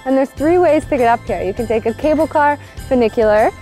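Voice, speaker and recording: A woman's voice: sits high, fairly quick, warm tone. A woman in her thirties presenting a travel vlog in an enthusiastic, explanatory way. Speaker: travel vlogger, host. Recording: music bed behind the voice. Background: background music.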